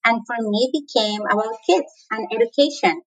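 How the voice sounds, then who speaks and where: A woman's voice: a little high, fairly quick, poor audio. Colombian woman, reflective with laughs. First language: Spanish. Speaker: guest. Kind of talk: conversational interview.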